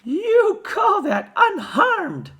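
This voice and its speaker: A hysterical storybook mother, wailing in a very high, shrill voice. She swoops wildly in pitch and cries out in horror over her child. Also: very animated, extremely slow, fairly bright tone, exaggerated voice.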